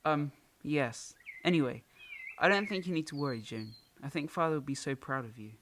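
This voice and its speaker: A man's voice: on the high side, normal speed, fairly bright tone, a little hiss or hum, natural voice. A young man playing a self-doubting, poetic farmer's son in an amateur audio drama. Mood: reassuring.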